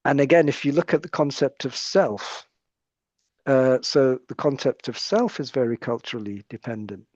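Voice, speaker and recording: A man's voice: medium pitch, animated, moderate pace, a little mellow, no echo, muffled recording. A British man speaking as a psychiatrist guest in an interview conversation, explaining his points in a thoughtful way and often restarting his phrases.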